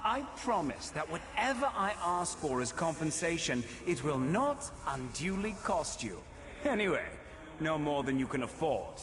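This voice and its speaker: High-voiced, theatrical villain prince in cavernous reverb. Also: male voice, big pitch swings, noisy background. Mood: silky, persuasive. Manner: theatrical, silky, menacing, deliberate. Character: scheming, vengeful male daedric prince, theatrical and menacing. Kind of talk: scripted fantasy video game acting. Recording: long cavernous reverb, game ambience behind.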